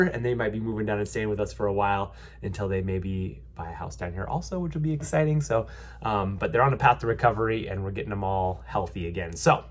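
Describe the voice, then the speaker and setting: A young man, nasal and stuffy from a head cold, talks through local housing numbers in a loose, rambling way. He fills gaps with ums and restarts, keeping an upbeat, reassuring tone at an ordinary, mid-range pitch. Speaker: realtor giving a market update. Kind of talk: casual vlog market commentary.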